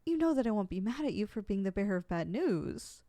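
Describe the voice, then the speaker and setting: A woman's voice: mid-range voice, very animated, fairly quick, dark tone, dry recording, natural voice. A woman playing a proud but easily flustered, lovesick young supervillain in an affectionate, dramatic ASMR roleplay. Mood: curious, concerned.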